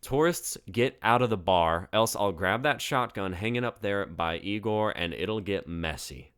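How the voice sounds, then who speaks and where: A man's voice: lowish voice, expressive, unhurried, fairly bright tone, smooth, voice pushed up. Man reading as a curt, weary woman bartender. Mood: irritated, commanding. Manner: dry, irritated, curt.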